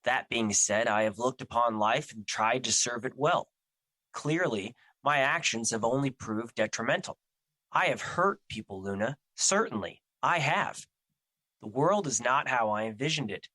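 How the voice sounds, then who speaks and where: A man's voice: medium pitch, expressive, moderate pace, pushed and bright, no echo, rough recording. A man playing a gloomy, self-doubting embodiment of fear in an audio drama, speaking with formal, solemn, theatrical phrasing. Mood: regretful, despairing.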